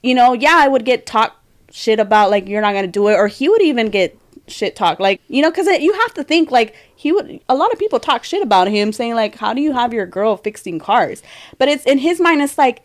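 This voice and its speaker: A woman's voice: fairly high pitch, lively intonation, a little fast, no echo. A woman in her thirties co-hosting a podcast, talking candidly in casual chat with a rambling way of speaking. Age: 30s. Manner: candid, rambling, laughs often. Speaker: podcast co-host.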